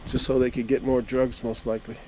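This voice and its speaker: A man's voice: medium pitch, flat delivery, brisk, lo-fi sound, noisy background. A man giving a casual, plain-spoken walk-around vlog outdoors, rambling along in a folksy way. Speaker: amateur radio vlogger. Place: outdoors at a campground.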